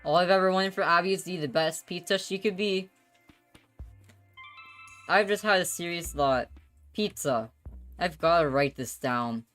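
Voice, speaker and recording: A woman's voice: lowish voice, normal speed, pauses often, fairly bright tone, soft background music, natural voice. A woman reading the part of a guilt-ridden, exasperated divorced father in a visual novel, in a confessional, dramatic way. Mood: earnest, absurd. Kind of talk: visual novel narration read aloud.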